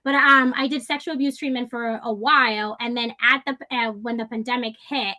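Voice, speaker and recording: Woman, 30s, Spanish first language, fairly high voice, bright tone, chatty interview guest. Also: steady tone, normal speed. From raised in the Dominican Republic and New York. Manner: chatty and enthusiastic, many fillers and restarts. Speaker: guest, licensed clinical social worker. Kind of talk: casual interview talk.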